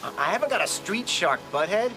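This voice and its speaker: A man's voice: high voice, very animated, brisk, poor audio. A male actor playing a flustered young American man in a comic radio drama, stammering and exasperated. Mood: wheedling, exasperated. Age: young adult. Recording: music behind the voice.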